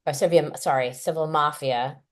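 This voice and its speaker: A woman hosts a casual interview. Her voice sits on the low side. She asks questions in a chatty, emphatic way. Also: fairly even intonation, normal speed. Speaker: interview host.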